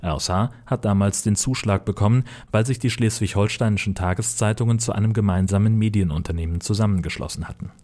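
A man's voice: on the low side, fast, hardly any pauses, darkish tone. A man narrating a scripted report as a radio reporter.

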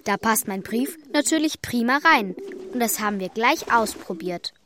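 A woman's voice: fairly high pitch, lively intonation, normal speed, fairly bright tone. A woman playing an affectionate, slightly anxious young girl in a German radio play for children, speaking in an earnest, childlike way. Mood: eager, confiding.

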